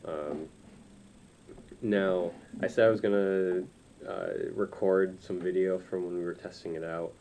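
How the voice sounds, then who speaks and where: A man's voice: deep voice, steady tone, measured pace, dark tone. A man in his twenties chatting casually to camera in a hesitant, rambling, informal way as he gives a review. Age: 20s. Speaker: reviewer of audio gear. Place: small room indoors.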